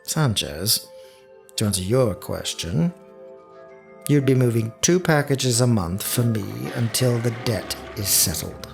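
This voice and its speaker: A menacing crime boss in a radio drama. He speaks slowly and with mock courtesy, his gravelly voice swinging widely in pitch over faint music. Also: lively intonation. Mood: cold, businesslike. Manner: deliberate, coldly polite, threatening. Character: menacing, smooth-mannered crime boss, adult man, mockingly polite.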